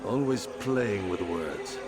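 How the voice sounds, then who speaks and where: Proud, animated warrior king. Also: fairly low pitch, slow pace, heavy background noise. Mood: wry, skeptical. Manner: commanding, declamatory, philosophical. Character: proud warrior king of the Crusaders, weighty, skeptical. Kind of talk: dramatic video game acting.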